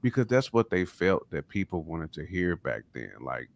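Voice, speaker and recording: A man co-hosting a podcast, talking in a lively, casual way. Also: low-pitched, moderate pace, gravelly, no echo. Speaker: podcast co-host. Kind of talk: casual discussion.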